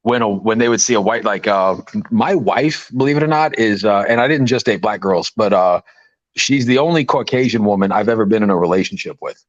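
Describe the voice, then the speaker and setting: A man in his late thirties on a podcast, casually telling stories and building up to loud punchlines. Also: lowish voice, expressive, a little fast, no echo, dull audio. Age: 30s. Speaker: podcast host. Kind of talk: casual banter.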